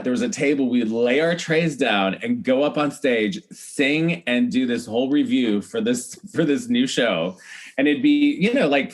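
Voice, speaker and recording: A middle-aged man, telling stories in a chatty, casual way as a podcast guest and acting out remembered dialogue. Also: mid-range voice. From United States, Iowa. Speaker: podcast guest, celebrity hair stylist. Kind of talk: animated casual storytelling.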